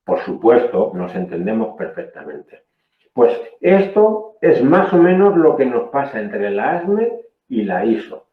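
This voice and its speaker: A man's voice: mid-range voice, big pitch swings, unhurried, echoey room, narrow, tinny audio. A man from Spain giving a technical training lecture, explaining patiently and often checking in with "¿eh?". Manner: explanatory, frequent "¿eh?" checks, self-corrections.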